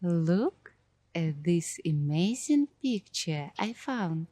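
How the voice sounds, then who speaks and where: Female ASMR host, extremely unhurried and hushed, dark mellow tone, dreamy halting phrasing. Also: lowish voice, big pitch swings, dry recording. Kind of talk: ASMR guided coloring narration.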